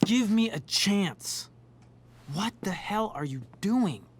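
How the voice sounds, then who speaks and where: An actor voicing a jealous, worried teenage boy in a video game, pleading and accusing in an emphatic, drawn-out way. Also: sits high, animated, husky, full character voice. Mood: hurt, agitated.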